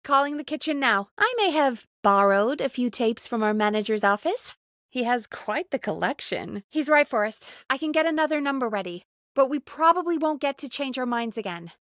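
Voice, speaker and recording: An actress playing an earnest, excitable female radio call screener in a video game, speaking in an expressive, conversational way. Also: sits high, normal speed, no echo, clean studio sound. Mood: playful, curious.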